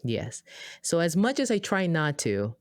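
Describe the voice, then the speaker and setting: A woman with an American accent co-hosting a podcast, telling a story in a chatty, animated way with lots of asides. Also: measured pace, no echo, clean studio sound. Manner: animated, acts out quoted dialogue with big pitch jumps; chatty, many fillers and asides. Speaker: podcast co-host. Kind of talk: casual conversational storytelling.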